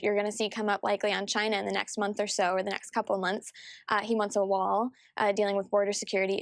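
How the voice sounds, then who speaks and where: A woman reporter giving live news analysis in an explanatory, measured way with long, detailed sentences. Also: medium pitch. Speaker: political reporter interviewed as a news commentator.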